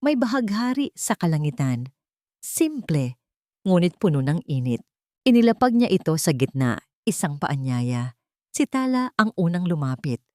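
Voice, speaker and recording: Low-pitched woman narrating a dramatic story. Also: mellow, no room sound, studio quality.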